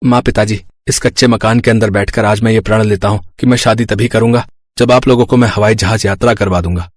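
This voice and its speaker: A man's voice: lowish voice, steady tone, fairly quick, no echo, close to an everyday voice. A man voicing an earnest, determined young village vegetable seller in a cartoon story, speaking in resolute declarations.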